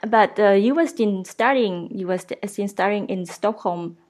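A woman's voice: mid-range voice, moderate pace, darkish tone. A woman interviewing a guest, asking questions in an encouraging way. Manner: encouraging, frequent backchannel murmurs.

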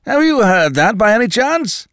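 Booming, hectoring colossus, put on by a male reader in a lively-pitched, dry audiobook read. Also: normal speed, no echo. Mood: blustering. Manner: loud, blustering, hectoring. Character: roaring, coarse, domineering giant of a man.

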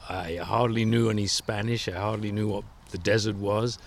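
A man's voice: lowish voice, fairly even intonation, normal speed, gravelly, a little hiss or hum. An older man with an English accent, a guest author telling stories of his travels with self-deprecating chuckles. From England. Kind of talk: relaxed storytelling interview.

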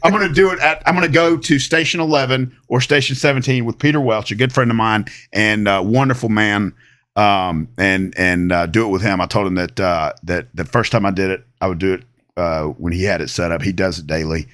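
Halting man in his late thirties. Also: lowish voice, steady tone, a bit bright. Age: 30s. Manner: halting, many restarts and fillers. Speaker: guest. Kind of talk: casual podcast chat.

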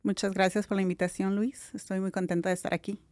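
A middle-aged woman with a Mexican accent, a nurse talking as a guest in a relaxed interview, often filling pauses with "am" and dropping in English words. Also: mid-range voice, no room sound. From Mexico (Jalisco). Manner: relaxed, many "am" fillers, mixes English words. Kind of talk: casual interview.

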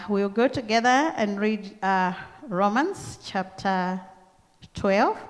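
A middle-aged woman with a Kenyan accent, preaching a church sermon in a slow, deliberate, earnest way. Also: medium pitch. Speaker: guest preacher. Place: large church hall. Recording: long church-hall reverb.

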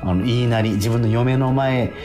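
A man's voice: medium pitch, normal speed, over music. A man hosting a radio show in casual chat, with frequent backchannels and chuckling asides.

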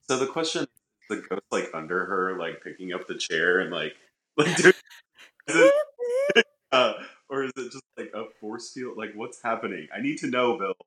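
A man with a very low voice co-hosts a casual podcast. He reacts to a ghost story with blunt exclamations and keeps pressing with questions. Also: animated, normal speed, bright, forceful tone, poor audio. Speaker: podcast co-host.

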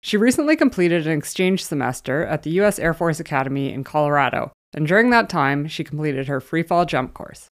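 A woman's voice: deep voice, expressive, fairly quick, hardly any pauses. A woman hosting an interview podcast, upbeat and eager, reading a scripted intro and then chatting with her guest. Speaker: podcast host.

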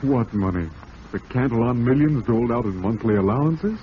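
A man playing a weak, pleading kept husband in an old radio drama, excitable, defensive and wheedling. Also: medium pitch, moderate pace, lightly acted. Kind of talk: 1940s radio drama acting. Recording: muffled, hissy old radio recording.

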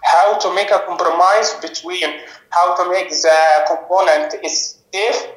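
A man's voice: high voice, steady tone, a little slow, fairly bright tone. A young man with an Egyptian accent, an engineer calling in to a podcast to ask a question. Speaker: guest caller, automotive safety engineer. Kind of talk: asking a question on a podcast call. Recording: roomy, echoey call audio.

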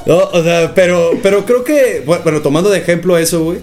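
A young man with a Guadalajara Mexican accent, chatting casually on a podcast, talking fast and swearing freely. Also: sits high, darkish tone. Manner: rapid, slangy, swears a lot. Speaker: podcast co-host.

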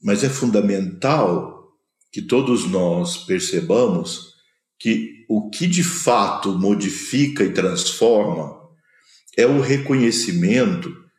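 A man from Minas Gerais, Brazil, speaking with a Minas Gerais accent, gives a slow, measured spiritual lecture, pausing between short phrases. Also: on the low side, a little mellow, reverberant. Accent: Brazilian Portuguese, Minas Gerais. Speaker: spiritual teacher. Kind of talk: spoken lecture on scripture.

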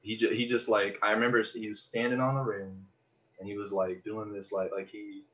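An American man chatting casually on a podcast, telling personal stories in an animated, rambling, slangy way and swearing freely. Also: fairly low pitch, a little fast, lo-fi sound. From Los Angeles area, United States. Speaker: podcast host.

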